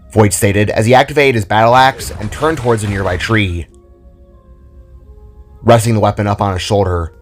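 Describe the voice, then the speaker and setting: Man, lowish pitch, scripted story narration, storyteller, soft music behind.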